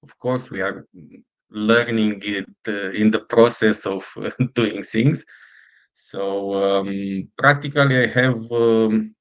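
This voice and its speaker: Slow, halting Romanian-accented man. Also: on the low side, steady tone, rough recording. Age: middle-aged. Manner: halting, many fillers and restarts. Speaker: startup founder. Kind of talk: interview answers.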